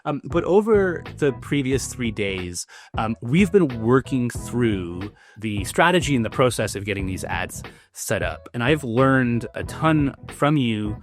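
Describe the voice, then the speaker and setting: A man's voice: medium pitch, lively intonation, measured pace, hardly any pauses, faint music behind. A man hosting a casual business conversation, talking in a loose, chatty way with many fillers and restarts. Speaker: host.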